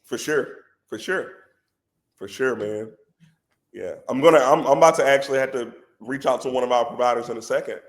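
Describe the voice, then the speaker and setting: Stuffy-nosed guy on a podcast, medium-pitched, keeps saying bro, pushy and lively in his pitch. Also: a little fast. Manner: animated and persuasive, says "bro" a lot. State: congested head cold. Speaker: healthcare company president, podcast guest. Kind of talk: casual podcast conversation.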